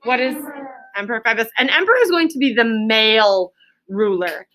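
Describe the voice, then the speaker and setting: A woman's voice: on the high side, brisk, fairly bright tone. A woman teaching a classroom reading lesson to children, explaining things simply, repeating key words and prompting pupils with questions. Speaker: elementary school teacher.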